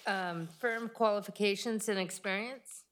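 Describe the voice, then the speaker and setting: Woman, middle pitch, committee member relaying details from a document. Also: steady tone, unhurried, a bit bright, slight background noise. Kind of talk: casual meeting discussion.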